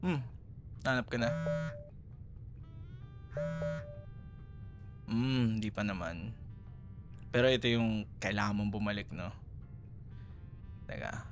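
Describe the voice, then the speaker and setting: A young man with a Filipino accent playing a playful, teasing ex-boyfriend in a casual, conversational audio roleplay, slipping between two languages. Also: medium pitch. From Philippines. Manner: teasing, chuckles often, Taglish code-switching. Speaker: roleplay voice performer.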